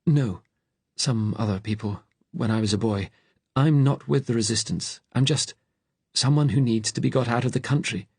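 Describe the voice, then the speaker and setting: A man's voice: medium pitch, moderate pace, gravelly, darkish tone, breathy edge, no room sound, voice pushed up. A man voicing a frightened, exhausted fugitive in an audiobook, pleading and shaken in manner. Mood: guarded, anxious.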